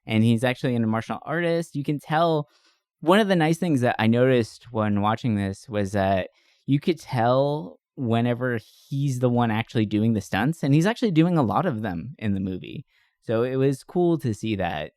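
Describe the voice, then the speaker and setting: A man's voice: mid-range voice, expressive, moderate pace, a little mellow, no echo, studio quality. A man co-hosting a podcast in an enthusiastic, informal chat, swearing casually. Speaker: podcast co-host. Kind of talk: casual chat about movies.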